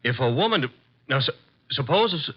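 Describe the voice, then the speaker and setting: Wry, brisk gumshoe assistant, mid-pitched, clipped delivery, dull old radio drama recording. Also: male voice, very animated, husky. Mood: piecing it together. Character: brisk, wry male detective's assistant, loyal and flirtatious. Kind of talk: 1950s radio drama acting. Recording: muffled vintage 1950s radio recording.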